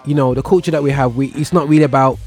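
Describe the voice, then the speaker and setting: A man's voice: mid-range voice, fairly even intonation, racing, darkish tone, soft background music. A man hosting a casual talk show, laid-back, laughing often and using British slang. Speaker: show host. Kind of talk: casual podcast chat.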